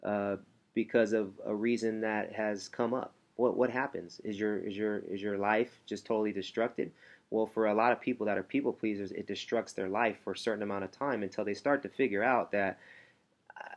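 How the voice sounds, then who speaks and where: A man working as a motivational coach, talking from home in a hushed, rambling way because his baby is asleep nearby. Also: fairly low pitch, lo-fi sound. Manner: soft, hushed delivery to keep a sleeping baby quiet, rambling with restarts. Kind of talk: casual motivational talk to camera.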